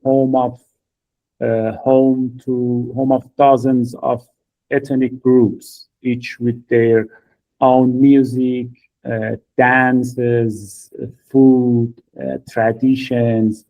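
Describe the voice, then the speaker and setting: A man from Iran talking on a podcast, hesitant and full of filler pauses, in English. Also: mid-range voice, level tone, dark tone. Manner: halting, many filler pauses, non-native phrasing. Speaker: podcast co-host. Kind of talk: podcast conversation. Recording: phone-like thin audio.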